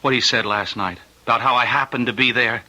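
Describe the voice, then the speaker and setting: A man's voice: medium pitch, fairly even intonation, fairly quick, bright, forceful tone, rough recording. An actor playing an anxious, stubborn policeman husband in an old-time radio drama, speaking earnestly and insistently. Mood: urgent, insistent. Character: anxious, stubborn policeman husband forbidding his wife to wish.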